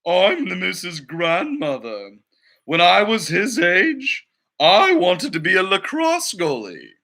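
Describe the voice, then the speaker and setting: A man's voice: high voice, huge pitch swings, slow, bright, forceful tone, exaggerated voice. A man voicing a feisty, defiant old female moose, a sporty grandmother in a storybook. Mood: proud.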